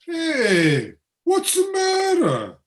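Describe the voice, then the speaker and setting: A tired, skeptical husband in a radio drama, acted by a woman. The delivery is very slow and plain-spoken, with lively swings in pitch and a grumbling, exasperated edge. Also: heavily acted. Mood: puzzled. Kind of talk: radio play acting. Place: home, over a video call.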